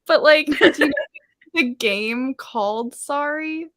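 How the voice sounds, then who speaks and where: A woman's voice: high voice, slow pace, dry recording, studio quality. A Canadian woman with a Canadian accent, telling stories animatedly in a casual video-call chat. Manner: animated storyteller.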